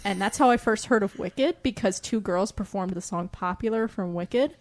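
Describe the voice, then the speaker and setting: A woman's voice: medium pitch, normal speed. A woman co-hosting a podcast in casual, giggly, bantering chat. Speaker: podcast co-host.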